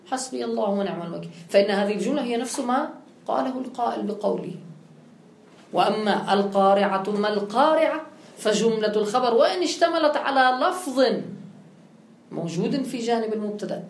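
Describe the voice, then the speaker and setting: A woman's voice: a little high, expressive, brisk, darkish tone, slight background noise. A woman teaching grammar with a touch, explaining step by step and posing her own questions. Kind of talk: classical grammar lesson. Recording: echoey room with faint ambience.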